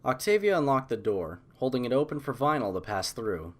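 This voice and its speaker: A man narrating a scripted fan story as an audiobook, in an expressive storytelling style. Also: medium pitch, fairly quick, over music.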